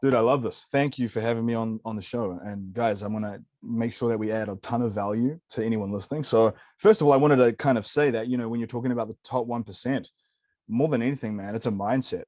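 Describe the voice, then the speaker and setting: A man's voice: mid-range voice, fast, darkish tone, no room sound. A man in his late thirties from New Zealand with a New Zealand accent, a nutritionist guest telling his story in a candid, enthusiastic way, often saying "man" and "dude". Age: 30s. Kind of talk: casual interview storytelling.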